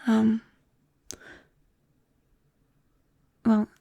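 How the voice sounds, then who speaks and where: A woman acts out a nervous, affectionate young British woman in an intimate ASMR roleplay. Her voice is soft and mellow with a creaky edge, and she speaks hesitantly. The sound is very close and dry. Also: fairly high pitch, completely flat delivery, a little slow, dry recording, muffled recording, full character voice. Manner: hesitant, soft-spoken, breaking into whispers and small nervous laughs. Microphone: close-up microphone, dry.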